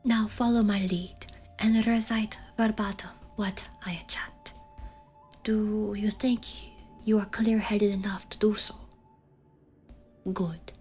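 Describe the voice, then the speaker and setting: A woman's voice: mid-range voice, little pitch movement, takes it slowly, pauses often, darkish tone, faint music behind, natural voice. A woman playing a solemn, protective shamaness in an ASMR fantasy horror roleplay, speaking half in whispers with archaic, commanding deliberation. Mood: solemn, guiding. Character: solemn protective shamaness guiding an afflicted listener.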